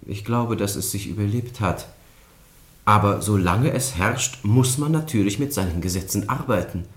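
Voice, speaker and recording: An actor playing a clever, level-headed young student in a radio play, speaking in a measured, diplomatic way. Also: male voice, lowish voice, reverberant, close to an everyday voice. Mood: measured, composed. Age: young adult. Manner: measured, deliberate. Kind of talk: German radio play acting.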